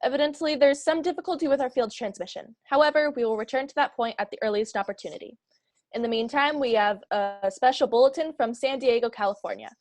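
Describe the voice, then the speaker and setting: A woman's voice: on the high side, fast talker, darkish tone, no echo, natural voice. A young woman playing a composed studio announcer, reading formal bulletins in a radio play. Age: young adult. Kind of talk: formal scripted broadcast announcement. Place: plain room at home.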